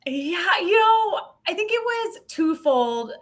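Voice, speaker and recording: A woman's voice: very high-pitched, big pitch swings, slow pace. An American woman chatting as an interview guest, candid and playful, with emphatic phrasing. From Montana, United States. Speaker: podcast guest, sales professional. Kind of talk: casual interview chat.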